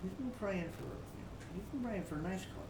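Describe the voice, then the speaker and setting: An older woman giving informal Bible teaching as a story, in a folksy, halting way with repeated words and frequent pauses. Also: medium pitch, lively intonation, vocal fry. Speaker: preacher telling a story. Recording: roomy, distant mic with faint noise.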